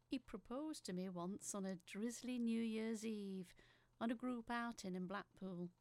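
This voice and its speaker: A woman's voice: a little high, unhurried, a little mellow, no room sound, lightly acted. A woman playing a chatty, apologetic working-class factory worker, telling her story as a rambling, wistful letter in an audio drama. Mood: fond, nostalgic. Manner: rambling, self-deprecating, wistful.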